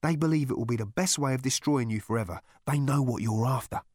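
A man's voice: medium pitch, quick pace, darkish tone, slightly rough, no echo, studio quality, voice pushed up, lightly acted. A man reading an audiobook, voicing a grim space conspirator with an emphatic, ominous way of talking. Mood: grave. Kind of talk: audiobook character voice.

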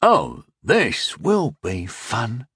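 A man's voice: on the high side, fast, breathy edge, dry recording, clean studio sound, voice pushed up. A man voicing a bemused, irreverent knight in a fantasy audiobook, casual and mocking. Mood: amused whisper. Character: bemused, irreverent knight, the narrator's amused comrade.

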